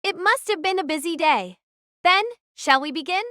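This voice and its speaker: A woman voicing a brisk, direct young female platoon member in an audio drama. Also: very high voice, pushed and bright, dry recording, studio quality. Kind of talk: fan audio drama acting.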